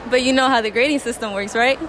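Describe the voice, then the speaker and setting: A woman's voice: a little high, fairly even intonation, quick pace, crowd noise. A young woman talking casually as the host of a public interview vlog, recorded on camera on location. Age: young adult. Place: open rooftop parking deck and busy shopping mall. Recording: clear background noise, on-location camera sound.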